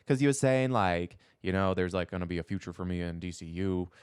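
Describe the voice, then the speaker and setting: A man with a fairly low voice chats casually as a podcast co-host. He tends to voice imagined reactions as short quotes, and the sound is very dry with no room to it. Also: a little fast, clear, resonant tone, no room sound. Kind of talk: casual chat.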